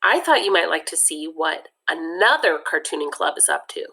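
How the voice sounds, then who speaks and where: A woman reading aloud puts on a cheerful female teacher from a kids' storybook. She sounds high, chirpy and upbeat. Her voice is lifted well above the reader's own. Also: sing-song, normal speed, bright, forceful tone, exaggerated voice.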